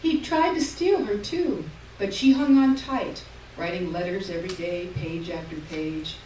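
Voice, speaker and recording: A woman reading literary fiction aloud on stage in a lyrical, measured way, heard from far off in an echoey hall. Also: fairly low pitch, a little mellow, slight background noise. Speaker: reader. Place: theater stage.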